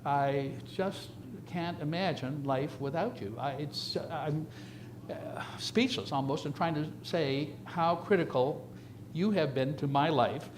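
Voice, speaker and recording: A man in his seventies giving an informal, reminiscing slide lecture in a halting, conversational way, speaking directly to his audience. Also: on the high side, noisy background. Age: 70s. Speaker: retiring surgeon giving an emeritus lecture.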